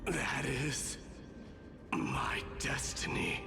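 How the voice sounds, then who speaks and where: A stubborn, battle-worn warrior who cannot die. He speaks extremely slowly and solemnly, in halting phrases, with dramatic game delivery over loud music. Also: medium pitch, sing-song, vocal fry, in a whisper, exaggerated voice. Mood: grim, resolute. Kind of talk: dramatic video game voice acting.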